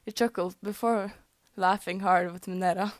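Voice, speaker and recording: A woman's voice: mid-range voice, steady tone, moderate pace, no echo, clean studio sound. A young woman whose first language is Norwegian, reading fan fiction aloud, often tripping over words and laughing at her slips. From Norway. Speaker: fanfic reader.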